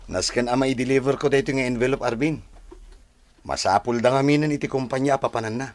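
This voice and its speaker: A man's voice: mid-range voice, fairly even intonation, fast talker, no echo, close to an everyday voice. A man with an Ilocano accent playing a hesitant, easily cowed office boss in a radio drama. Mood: flustered, hesitant. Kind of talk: Philippine radio drama acting.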